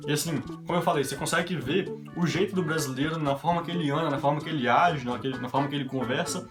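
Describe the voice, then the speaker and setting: A man's voice: fairly high pitch, quick pace. A young man from Brazil telling a run of everyday anecdotes to his vlog audience in a chatty, casual way. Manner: chatty anecdotal, quoting others in his own voice. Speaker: vlogger. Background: background guitar music.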